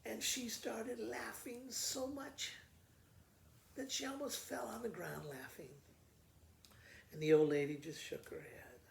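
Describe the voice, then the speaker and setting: A man reading a storybook aloud as an expressive storyteller, with frequent pauses. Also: high voice, gravelly. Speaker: school principal reading to pupils. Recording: echoey room with faint noise.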